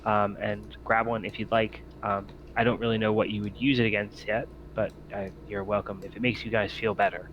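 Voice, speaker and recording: Guy game master, slightly low voice, casually narrating and explaining rules, faint noise behind. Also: little pitch movement, fairly quick, few pauses, dull audio. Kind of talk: improvised tabletop game narration and rules talk.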